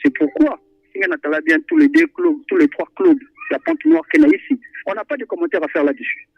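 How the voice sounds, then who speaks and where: A man's voice: very high voice, brisk, creaky, dry recording. A man calling in to a radio show, chatting animatedly about football with many hesitations and repetitions. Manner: animated, repeats himself, frequent hesitations. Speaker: radio call-in listener. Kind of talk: phone-in football chat. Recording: tinny phone line.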